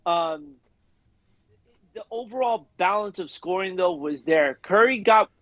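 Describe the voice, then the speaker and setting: A man's voice: sits high, expressive, a little slow, no room sound, dull audio. A man co-hosting a casual sports talk show. He speaks in a laid-back way, with easy slang and repeated words. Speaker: co-host. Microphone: video call.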